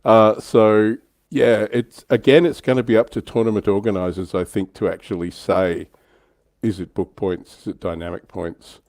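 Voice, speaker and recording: A man's voice: fairly low pitch, fairly even intonation, moderate pace, darkish tone, no room sound, clean studio sound. A man hosting a livestream, chatting casually and fielding questions from viewers.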